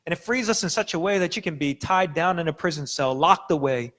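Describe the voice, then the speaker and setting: A man's voice: a little high, animated, a little fast, a bit bright. A young adult man preaching a sermon as a pastor, talking casually with fillers and restarts. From United States. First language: Korean. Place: church sanctuary.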